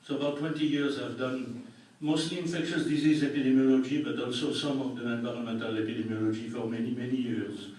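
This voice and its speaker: A man's voice: mid-range voice, steady tone, a little mellow. French-accented older man in echoey hall. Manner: dry jokes, plain unhurried explanations. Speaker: public health doctor giving a talk. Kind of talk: public meeting presentation. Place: large public meeting hall. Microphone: room recording from far off. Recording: echoey hall taped from far off.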